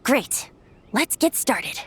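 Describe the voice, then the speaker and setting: A woman voicing a young male wind god and bard for a video game, gentle and playful, with theatrical, poetic, lilting phrasing. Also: fairly high pitch, big pitch swings, takes it slowly, exaggerated voice. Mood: gentle, explanatory. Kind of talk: video game character acting. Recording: music behind the voice.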